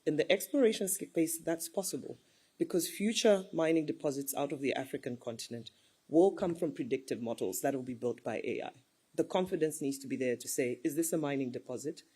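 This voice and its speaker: A woman's voice: sits low, brisk, mellow, husky. A woman speaking as a panelist in a discussion, earnest and persuasive, building her case with examples.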